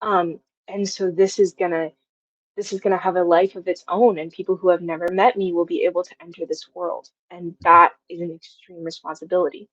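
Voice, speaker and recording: Young woman, low voice, dull lo-fi sound. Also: flat delivery, a little fast, a bit bright, no echo. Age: 20s. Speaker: guest, audio drama writer. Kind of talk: casual interview chat.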